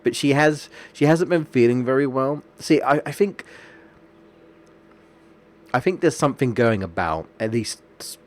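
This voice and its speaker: A man's voice: medium pitch, animated, unhurried, long pauses. A man with a stuffy nose from a cold, chatting casually and rambling as he streams a game. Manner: rambling, chatty, restarts sentences often.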